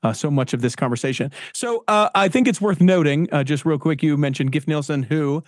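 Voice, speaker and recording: A man's voice: a little high, fairly quick, no room sound, clean studio sound. A man hosting a podcast interview, talking enthusiastically and rambling with many restarts. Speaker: podcast host. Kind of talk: casual interview.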